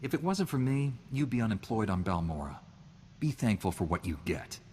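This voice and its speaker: An actor playing a stern knight in a video game, answering with curt, dry comebacks. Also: male voice, on the low side, sing-song, moderate pace, a little mellow, in a large hall, slight background noise. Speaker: player character in a video game. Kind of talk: video game character dialogue.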